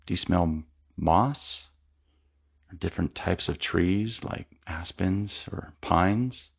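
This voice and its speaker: A man leading a guided mindfulness meditation with slow, calm, soothing instructions and long pauses. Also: deep voice, gravelly, husky, highs cut off.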